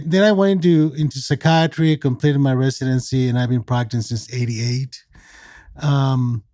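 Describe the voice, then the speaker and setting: A man in his sixties from Mexico talks in a measured, explanatory way, pausing on fillers as he lays out his thinking. His voice is mellow and warm, recorded dry and close with little room sound. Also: medium pitch, no echo. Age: 60s. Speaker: psychiatrist guest. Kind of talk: conversational podcast interview.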